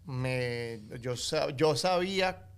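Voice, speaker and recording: A middle-aged man with a Colombian accent, telling his life story as a guest in a relaxed interview, full of fillers and restarts. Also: mid-range voice, extremely slow, clean tone. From United States, Colombian family. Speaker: guest, actor and coffee entrepreneur. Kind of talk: casual interview storytelling in Spanish.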